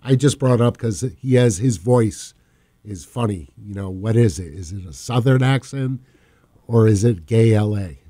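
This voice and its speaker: A man from Massachusetts co-hosting a radio show, rambling casually, trailing off and often clearing his throat. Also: fairly low pitch, normal speed, warm tone. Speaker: radio co-host. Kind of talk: casual talk radio banter.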